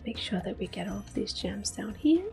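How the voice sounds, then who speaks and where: A woman hosting ASMR gameplay, talking softly and gently with quiet laughs. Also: medium pitch, expressive, normal speed, gravelly, soft background music. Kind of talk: whispered ASMR gameplay commentary.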